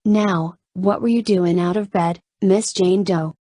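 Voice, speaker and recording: A woman's voice: lowish voice, steady tone, unhurried, dark tone, no room sound. Woman acting a folksy Southern nurse, dry recording. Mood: brisk, curious. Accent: slight US Southern. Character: motherly, brisk hospital nurse woman, secretive and cautioning.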